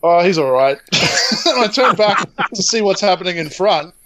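Lively, laughing man teasing his friend. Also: high voice, normal speed. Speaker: podcast co-host. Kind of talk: casual podcast chat.

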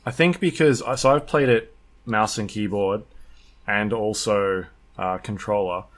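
A man's voice: on the low side, measured pace. A man talking casually as a podcast co-host, explaining his experience with video games in a conversational way. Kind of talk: casual unscripted chat.

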